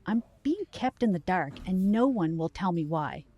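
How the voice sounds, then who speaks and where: A woman's voice: on the low side, lively intonation, moderate pace, warm tone, breathy edge. A woman playing a concerned, questioning girlfriend in a supernatural audio drama, earnest and probing. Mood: worried, probing.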